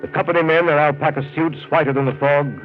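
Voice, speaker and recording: An actor playing a brooding steamboat captain who narrates a jungle voyage in grave, measured 1930s radio drama style. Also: male voice, fairly high pitch, steady tone, a little hiss or hum, close to an everyday voice. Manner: grave, measured, descriptive storytelling. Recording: tinny 1930s radio broadcast with music behind.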